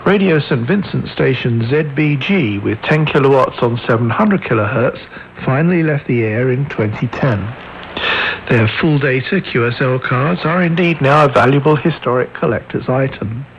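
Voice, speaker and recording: A man presenting scripted radio history in a measured, formal announcer's delivery, heard over shortwave radio. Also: medium pitch, animated, gravelly, dull audio. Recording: noisy shortwave radio reception.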